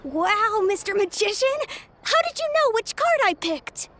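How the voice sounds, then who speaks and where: A woman voicing a young boy who snuck out to play, curious and sheepish, with a childlike, breathy voice. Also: very high-pitched, very animated, measured pace, noisy background, exaggerated voice. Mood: wowed.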